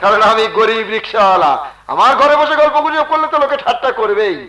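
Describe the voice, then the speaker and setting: A fiery, poor rickshaw puller declaims with stubborn pride in folk opera style. The voice is very high and bright, ringing around an echoey stage. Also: male voice, lively intonation, quick pace. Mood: bitter, sarcastic. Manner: defiant, declamatory, repeats phrases emphatically. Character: proud, hot-tempered poor rickshaw puller, protective brother.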